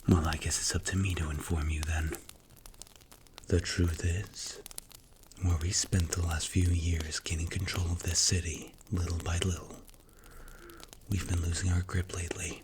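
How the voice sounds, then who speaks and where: A man acts out a strict mafia boss in an ASMR roleplay, with a low, commanding voice. He speaks in clipped, deliberate phrases with cool authority and tight control. Faint noise sits behind the voice. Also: little pitch movement. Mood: grave, confiding.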